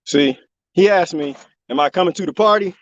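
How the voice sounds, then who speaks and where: A man live streaming from the desert, joking and rambling in a loose, animated way. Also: high voice, brisk, no room sound, dull audio. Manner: animated, joking, imitates bird chirps. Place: outdoors in the desert.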